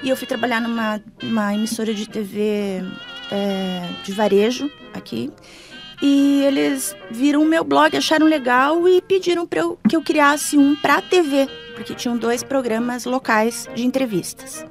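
Brazilian woman, middle-aged radio guest, informal, fairly high voice over faint music. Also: unhurried. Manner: informal, often adding tag questions. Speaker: interview guest. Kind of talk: casual radio interview. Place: small foam-padded radio studio. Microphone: studio microphone.

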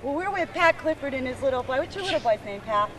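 A woman's voice: high voice, expressive, fast. A young woman interviewing at an outdoor ballpark with a handheld mic, peppy and cheerleading. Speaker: company video interviewer. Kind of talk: upbeat on-camera interviews. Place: outdoor baseball stadium. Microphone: handheld mic on camcorder audio. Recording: distant camcorder audio with noise.

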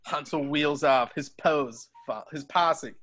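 A man's voice: a little high, slow, fairly bright tone. A man narrating stage directions from a script in an amateur table read over a video call.

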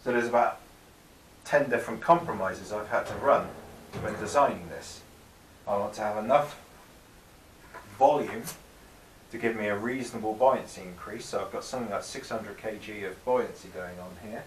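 A man's voice: on the low side, moderate pace, roomy sound, distant mic, slight background noise, poor audio. A man in his thirties with the flu, casually explaining his boat build while working in a cold garage workshop. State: has the flu.

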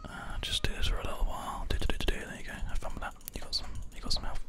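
A man whispers soft, breathy ASMR commentary over his own video game play, unhurried and reassuring, close on a binaural microphone. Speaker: gaming video host. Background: keyboard typing and mouse clicks.

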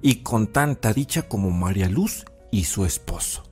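A man's voice: lowish voice, lively intonation, normal speed, natural voice. A man narrating a scripted village legend as the storyteller of an audio drama. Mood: storytelling. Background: soft music.

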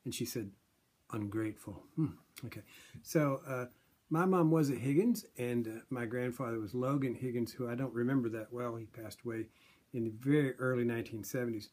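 A man in his sixties telling a made-up family story in a rambling, playful, deadpan way to a webcam in a small room at home. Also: medium pitch, brisk. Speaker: vlogger. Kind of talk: rambling comic monologue. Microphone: webcam at desk distance.

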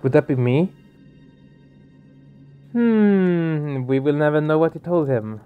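A man's voice: on the high side, animated, takes it slowly, smooth, narrow, tinny audio. A man hosting a let's play, reading game narration aloud and adding casual commentary. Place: small home room, indoors. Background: game music.

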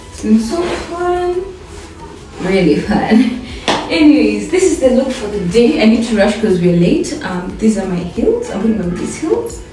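A chatty young woman talks through getting ready, playful and prone to repeating herself. Her voice bounces off hard bathroom tiles and sits back from the camera. Soft music plays underneath. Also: mid-range voice. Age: young adult. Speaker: vlogger and home baker. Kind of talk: casual get-ready-with-me vlog chat. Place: bathroom with tiled walls and glass shower. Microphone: camera set back across the room. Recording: echoey bathroom, heard from a distance, soft music.

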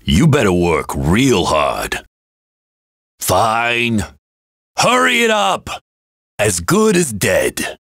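An actor playing a ruthless, ambitious brute of an army captain in a video game, gravelly and menacing, with a swagger. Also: male voice, on the low side, huge pitch swings, a bit bright, no echo, clean studio sound, heavily acted. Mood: impatient, threatening. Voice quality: gravelly, rough. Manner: menacing, swaggering, slow delivery. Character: ambitious brutish axe-wielding male captain, bullying and ruthless. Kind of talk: video game character voice clips.

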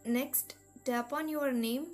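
A woman reading a scripted step-by-step tech tutorial in a measured, instructional manner. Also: high voice, fairly even intonation. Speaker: tutorial narrator. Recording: voice-over with music behind. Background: background music.

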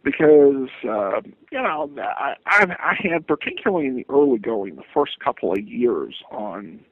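A man giving conversational interview answers as a podcaster, in a halting, rambling way. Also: medium pitch, sing-song, husky, dry recording. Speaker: podcast host, interviewed guest. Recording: muffled phone-like line.

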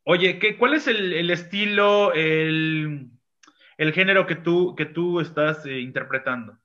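A man's voice: a little high, pushed and bright. A man in his forties interviewing a guest over a video call, asking questions casually with many restarts. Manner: halting, restarts questions, informal. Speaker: interviewer host. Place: home room with bookshelves. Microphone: video call webcam. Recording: dull lo-fi webcam audio.

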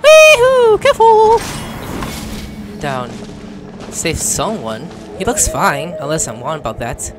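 A woman gives casual let's play commentary in a low voice that swings wildly in pitch. She is reactive and exclamatory, with game music clearly behind her. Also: huge pitch swings, takes it slowly, long pauses. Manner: reactive, exclamatory, mildly profane. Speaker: game streamer.

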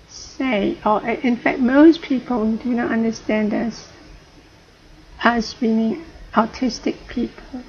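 A middle-aged woman with a British colonial accent talks slowly to her webcam at home, often rephrasing herself. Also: fairly high pitch. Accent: British colonial English. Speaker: vlogger. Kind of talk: casual talk to camera. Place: home room, indoors. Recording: dull lo-fi webcam audio, faint hiss.

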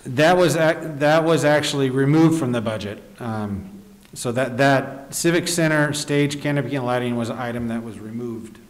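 A man's voice: medium pitch, normal speed. Formal male official in an echoey chamber. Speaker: city staff official presenting the budget. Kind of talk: explanatory budget briefing. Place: indoor council chamber. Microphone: desk microphone, speaking through a face mask. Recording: echoey meeting room.

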